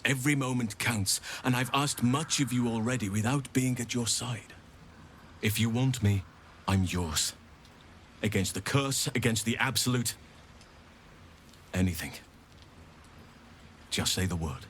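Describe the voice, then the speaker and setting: A man playing an earnest druid in a fantasy video game, grave yet gentle. His speech is measured and formal, at a middling pitch, with noise behind it. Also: lightly acted. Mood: tender, resolute.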